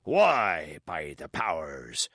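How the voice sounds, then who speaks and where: A man's voice: rumbling low, huge pitch swings, drawn-out words, a bit bright, no echo, studio quality, voice pushed down, heavily acted. A man voicing a hearty old sailor, a ship's cook, with bluff exclamatory talk in an audiobook.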